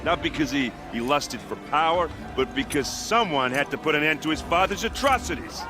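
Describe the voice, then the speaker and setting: An actor playing a blunt, earnest envoy, speaking plainly and emphatically to persuade a crowd in a fantasy game. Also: male voice, on the high side, animated, brisk, close to an everyday voice. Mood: earnest, pleading. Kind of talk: impassioned speech in a fantasy game. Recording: game music behind the voice.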